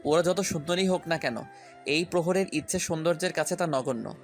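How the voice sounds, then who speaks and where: A woman's voice: sits low, fairly quick, soft background music, voice pushed down. A woman voicing a teasing, possessive young man in love, with playful, smug and tender delivery in an audio love story.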